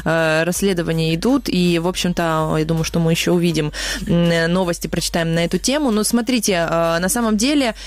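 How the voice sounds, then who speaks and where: A woman's voice: lowish voice, fairly quick. A woman hosting a live radio call-in show in a chatty, questioning way. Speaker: radio host.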